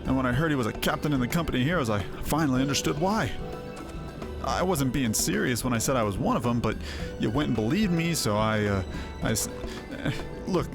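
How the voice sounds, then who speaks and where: A man's voice: medium pitch, lightly acted. A man voicing a cowardly, boastful mercenary axeman in a fantasy game, with a stammering, pleading manner. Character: cowardly boastful hard-drinking axeman, a bumbling male mercenary. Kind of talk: voiced fantasy game dialogue. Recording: music under the voice.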